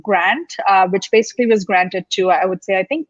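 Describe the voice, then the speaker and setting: A woman's voice: medium pitch, fairly quick, dry recording, lo-fi sound. A woman in her forties, originally from Mumbai, chatting easily as a guest chef with many fillers and a ready laugh. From India, Mumbai. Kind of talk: conversational interview.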